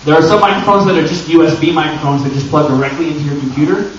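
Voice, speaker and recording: A man's voice: on the high side, racing. A man giving an informal, chatty panel talk to an audience, joking and addressing the crowd directly as he presents. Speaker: panel presenter and audio drama creator. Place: indoor convention panel room. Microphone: distant camera microphone. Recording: very echoey room, distant, muffled and noisy.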